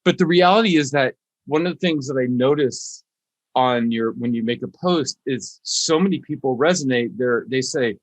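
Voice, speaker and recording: A man's voice: a little high, no room sound, poor audio. A man hosting a podcast in a casual, informal interview style with a playful, jokey manner.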